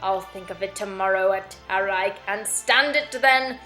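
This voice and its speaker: A spoiled young Southern belle, acted out by a woman reading a novel, willful and petulant. The voice is bright and dramatic, ringing in a very echoey room with music behind. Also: mid-range voice, normal speed, close to an everyday voice. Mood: desperate, resolute.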